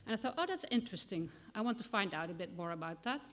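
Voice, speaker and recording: A woman with a Dutch accent speaks to an audience in a relaxed, conversational way, dropping in small fillers as she goes. Her voice sits in a middle register. It rings out with a long reverb, as in a large conference hall. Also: mid-range voice, fast talker, slight background noise. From Netherlands. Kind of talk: conference talk.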